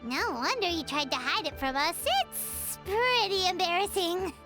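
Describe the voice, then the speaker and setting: Super high squeaky little fairy sidekick, cheeky, all over the place, game music. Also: female voice, lively intonation, a little slow. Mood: smug, matter-of-fact. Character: tiny childlike female fairy companion, cheeky, blunt. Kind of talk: video game voice acting.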